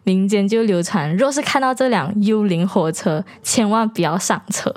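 A woman's voice: mid-range voice, moderate pace, darkish tone. A woman telling stories casually as a podcast host. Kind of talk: casual storytelling chat.

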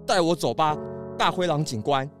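A woman's voice: sits low, moderate pace, music in the background, close to an everyday voice. A woman voicing a young male lemur, an earnest and principled older brother, in a children's audio drama with a sincere delivery. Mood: resolute. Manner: sincere, heartfelt.

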